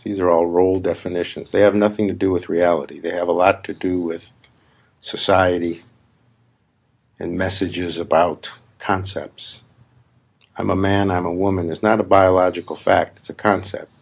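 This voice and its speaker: A man leading a guided meditation as a spiritual teacher, speaking in deliberate, emphatic, repeated phrases with long pauses. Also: low-pitched, fairly even intonation, creaky, darkish tone. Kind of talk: slow guided meditation talk. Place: live gathering.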